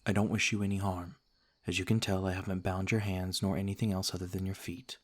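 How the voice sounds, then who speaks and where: Noble vampire lord, played by a male actor, ASMR roleplay. Low voice, little inflection, polite, careful, measured. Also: little pitch movement. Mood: calm, guarded courtesy. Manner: formal, gentle, measured.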